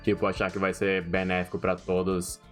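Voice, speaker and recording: A man's voice: low-pitched, completely flat delivery, moderate pace, soft background music. A young man with a Brazilian Portuguese accent, a streamer giving rambling, profane, slangy gaming commentary.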